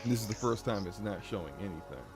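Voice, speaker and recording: A man with a deep voice chatting casually on a live game stream, flustered as he talks himself through tech trouble. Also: lively intonation, fast talker, music in the background.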